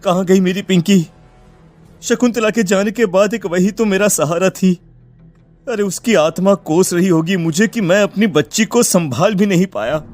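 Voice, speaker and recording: A man's voice: high-pitched, moderate pace, a little mellow, soft background music, lightly acted. A man voicing a village father in a cartoon, anguished and pleading as he searches for his lost daughter. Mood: grieving. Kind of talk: cartoon voice acting.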